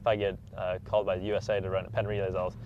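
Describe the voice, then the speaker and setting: Low-voiced young man, muffled recording. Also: level tone, very fast, slight background noise. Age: 20s. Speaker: elite track athlete being interviewed. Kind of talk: casual interview answers.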